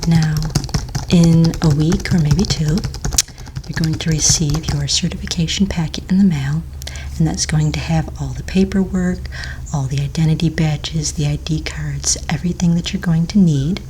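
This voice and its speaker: A woman speaking in a soft, breathy near-whisper, playing a courteous, patient office manager in a gentle ASMR roleplay. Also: low-pitched, steady tone, normal speed, noisy background. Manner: gentle, polite, reassuring clerical patter.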